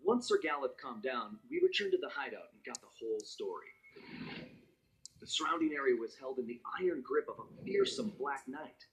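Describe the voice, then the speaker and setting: A man's voice: mid-range voice, sing-song, moderate pace, soft background music, rough recording. An American man voicing a cool, loyal young master thief who narrates his adventures in a wry, conversational storytelling way for a video game cutscene. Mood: wry, determined. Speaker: narrator and hero.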